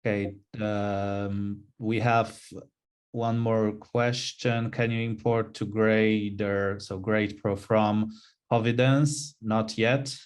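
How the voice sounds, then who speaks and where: A middle-aged man giving explanatory answers on a webinar video call, halting and pausing mid-sentence. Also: on the low side, level tone, clear, resonant tone. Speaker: webinar panelist.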